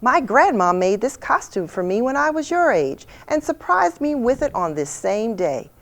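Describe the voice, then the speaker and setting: A woman's voice: on the high side, very animated, moderate pace, a bit bright, voice pushed up. A woman voicing a cheerful, teasing mother in a children's storybook, playfully secretive. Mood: playful, motherly.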